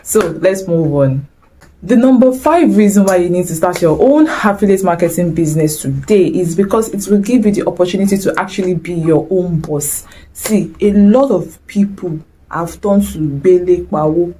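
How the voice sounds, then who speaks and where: A woman's voice: fairly low pitch, normal speed, warm tone, slightly rough, rough recording. A young woman with a Nigerian accent, giving a chatty, exclamatory motivational talk straight to camera and mixing in Nigerian slang. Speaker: vlogger.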